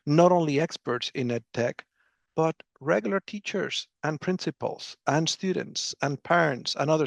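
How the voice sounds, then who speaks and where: Guest author, man, very gravelly voice, dry close sound, earnest explainer. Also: fairly high pitch, no echo. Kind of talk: explanatory podcast talk.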